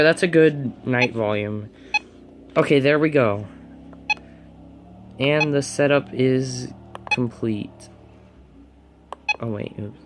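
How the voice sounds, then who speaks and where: A man talks casually to himself as he works through setting up a device on camera. His voice sits at a middle pitch. The recording is clearly noisy, like an amateur home video. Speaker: hobbyist reviewer. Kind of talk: casual unboxing and setup commentary.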